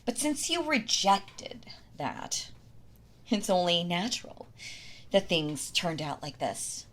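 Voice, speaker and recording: A woman's voice: lowish voice, very animated. A woman playing a possessive yandere wife in an intimate audio roleplay, doting and condescending, with a sweetly menacing manner. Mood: bitter, possessive. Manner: slow, coddling, condescending, with sighs and soft laughs.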